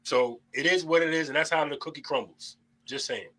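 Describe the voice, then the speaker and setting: A man's voice: fairly high pitch, fairly quick, noisy background. A young adult man hosting a casual panel debate, talking bluntly and preachily, with plenty of swearing. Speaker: host.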